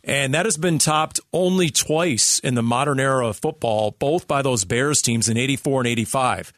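A man's voice: mid-range voice, moderate pace, fairly bright tone, no echo, clean studio sound. A man co-hosting a sports talk radio show, analysing in an emphatic way with rhetorical questions.

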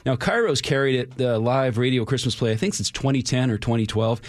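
Man, fast talker, self-deprecating, rambling asides, casual radio producer, dry close sound. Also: mid-range voice, dry recording. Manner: wry, self-deprecating, digressive. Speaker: radio historian, drama producer and director.

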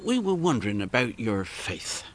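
An actor playing a kindly, earnest Puritan reverend in a radio drama, hesitant and gently preachy. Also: male voice, lowish voice, sing-song, moderate pace, slight background noise. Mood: careful, kindly. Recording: faint music behind. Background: faint music.

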